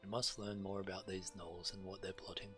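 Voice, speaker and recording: A man's voice: low voice, flat delivery, fairly quick, bright, forceful tone, soft background music. A man streaming a game, casually commenting and reading quest text aloud.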